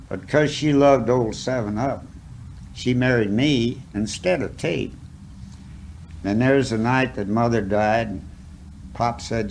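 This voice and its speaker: A man's voice: mid-range voice, gravelly, darkish tone, a little hiss or hum, poor audio. A man reciting a cowboy poem as a dramatic story, in a folksy, rustic manner. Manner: folksy, drawn-out phrasing with rustic dialect.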